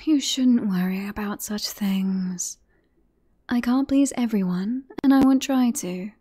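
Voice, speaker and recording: Woman playing a husky, soft-voiced flirtatious queen. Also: mid-range voice, takes it slowly. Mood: tender, confident. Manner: breathy, flirtatious, formal courtly phrasing. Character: teasing, self-assured queen in love with her knight. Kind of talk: romantic fantasy audio roleplay, ASMR.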